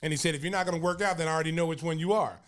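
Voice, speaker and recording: An older man from Oklahoma hosts a sports podcast and talks loosely, piling on fillers and restarts as he spins stories. He laughs his way through anecdotes and sounds animated and at ease. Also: a little high, rapid-fire. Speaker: podcast host, former pro basketball player. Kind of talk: casual sports talk and storytelling.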